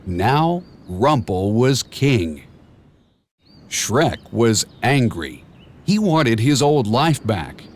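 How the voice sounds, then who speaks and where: A man reading a children's storybook aloud in a measured, expressive storytelling manner, with clear and simple phrasing. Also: mid-range voice. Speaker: storybook narrator. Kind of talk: scripted children's read-along storybook narration.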